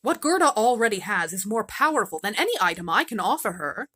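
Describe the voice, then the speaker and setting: A woman's voice: medium pitch, very animated, a little fast, a bit bright. A woman voicing a mysterious, solemn wise woman giving grave instructions.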